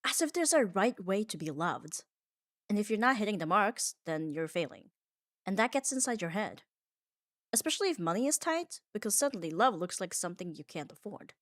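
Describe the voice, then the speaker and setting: A woman's voice: medium pitch, sing-song, a little fast, dry recording, clean studio sound. A woman delivering a scripted comfort ASMR monologue in an earnest, reflective manner, leaning on short emphatic phrases. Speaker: ASMR audio performer.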